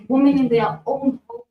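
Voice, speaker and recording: A woman's voice: high voice, lo-fi sound. A woman facilitating a panel discussion, speaking in an earnest, reflective and emphatic way. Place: event hall. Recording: echoey room, distant mic, faint hum.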